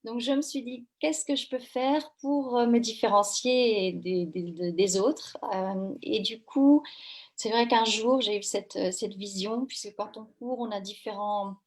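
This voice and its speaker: Woman from France, fairly high voice, hesitant, lots of fillers, running coach. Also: a little slow. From France, Brittany. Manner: hesitant, many fillers and restarts. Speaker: running coach and French teacher. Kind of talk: casual interview answers.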